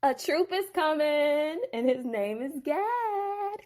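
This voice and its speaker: Proud, declaring young wife, unhurried; woman narrator voices her. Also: sits high, animated, darkish tone, voice pushed up.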